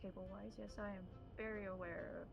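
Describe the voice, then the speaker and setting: A woman speaking as game master in measured, formal phrases, improvising roleplay and narration. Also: medium pitch, steady tone, gravelly, over music.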